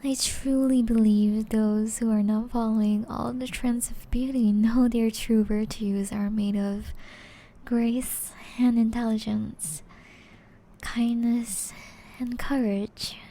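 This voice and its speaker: Casting: actress as seductive aristocratic vampire woman for ASMR roleplay; mellow, unhurried, breathy and refined, music underneath. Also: on the high side, fairly even intonation. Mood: charming, sincere. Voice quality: soft, breathy, often whispery. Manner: refined, formal, teasing, slow and deliberate.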